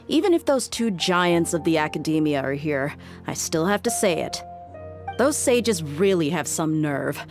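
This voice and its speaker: Tough, easygoing female game mercenary: lowish voice, blunt and teasing, lively swings in pitch, music behind. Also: a little slow, exaggerated voice. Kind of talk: video game voice acting.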